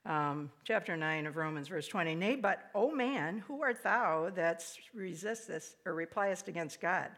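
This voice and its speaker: An older woman speaks to an audience with a raspy, somewhat low voice. Her delivery is chatty and lively, full of quick asides that poke fun at herself. She sounds like she is in a small old hall. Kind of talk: spoken devotional talk to an audience.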